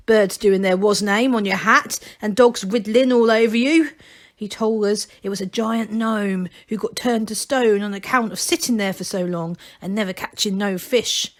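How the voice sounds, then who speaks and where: A woman's voice: medium pitch, fairly even intonation, normal speed, lightly acted. A woman voicing a stubborn old male gnome who rambles insistently in rustic dialect, as in a storybook. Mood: insistent, reminiscing.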